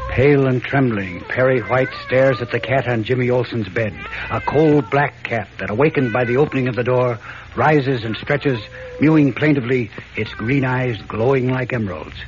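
A man's voice: mid-range voice, normal speed. A man narrating a 1940s radio serial in a dramatic, suspenseful scripted style. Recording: dull old radio recording, faint noise.

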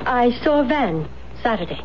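An actress playing a determined, scheming wife in a 1970s radio drama, speaking earnestly and insistently. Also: female voice, fairly high pitch, big pitch swings, a little slow. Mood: composed, resolute. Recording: muffled, faintly noisy 1970s radio broadcast.

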